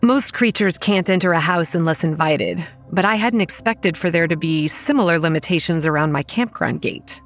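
An actress playing a wary, anxious young campground manager who narrates a horror audio drama in the first person. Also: female voice, fairly low pitch, moderate pace, few pauses, faint music behind, lightly acted. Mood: wary narration.

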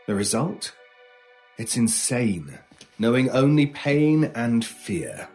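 A brooding male stranger in an old-time radio horror play. He is a medium-pitched, intense expert on the uncanny, speaking deliberately with dramatic pauses over music. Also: big pitch swings, a little mellow, breathy edge, exaggerated voice. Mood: ominous, intense. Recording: music under the voice.

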